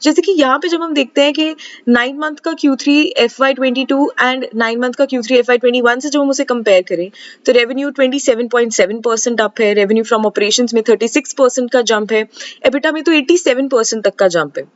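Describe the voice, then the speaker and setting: High-pitched Indian woman presenting analysis. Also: fairly quick, lo-fi sound. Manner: switches often between Hindi and English. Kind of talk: explanatory stock analysis presentation.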